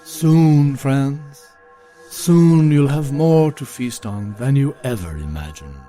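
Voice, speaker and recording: Give a sinister male piper, a villain of audio drama played by an actor. His voice is dark and mellow, and he speaks slowly and with cold, measured menace over faint music. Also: medium pitch, natural voice. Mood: sinister promise.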